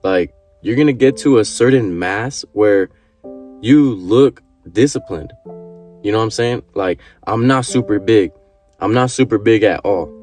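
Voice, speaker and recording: A man's voice: fairly low pitch, measured pace, darkish tone, soft background music. A man in his early twenties giving an earnest motivational talk to camera, repeating his points and swearing casually. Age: 20s. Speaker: vlogger.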